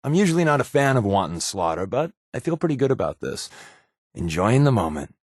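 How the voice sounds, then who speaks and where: An American actor playing a sardonic, wisecracking secret agent companion in a video game, with a wry, offhand delivery. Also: male voice, medium pitch, very animated, fairly quick, husky, no room sound, clean studio sound. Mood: sarcastic.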